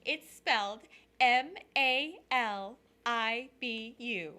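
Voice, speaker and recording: A woman's voice: on the high side, very slow, pushed and bright, heavily acted. An actress playing a glamorous, gushing cosmetics saleswoman in a carefully enunciated 1940s radio advertisement.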